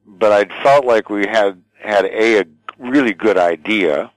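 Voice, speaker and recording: Deep-voiced older man, thoughtful interview guest. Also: unhurried, no echo, low-quality recording. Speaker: radio station general manager, interview guest. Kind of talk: conversational interview, reflective storytelling.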